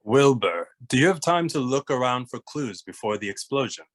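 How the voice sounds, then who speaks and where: A man voicing a level-headed, skeptical young detective in a cartoon comedy, with a dry, deadpan manner. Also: medium pitch, moderate pace, natural voice. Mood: serious. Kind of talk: animated comedy table read.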